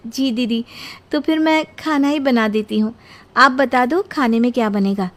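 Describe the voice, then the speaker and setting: A woman's voice: on the high side, unhurried, a bit bright. A woman voicing a young, carefree bride who exclaims dramatically and is easily overwhelmed, in a Hindi cartoon story. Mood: polite. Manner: exclaiming, melodramatic.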